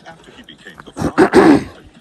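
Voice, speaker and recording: A man giving a solemn, measured narration of a dramatized Bible reading. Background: prominent music.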